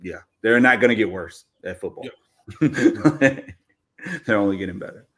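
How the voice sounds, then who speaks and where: Dude with a slightly low voice chatting on a football fan podcast. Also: male voice, moderate pace, a bit bright. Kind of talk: casual sports chat.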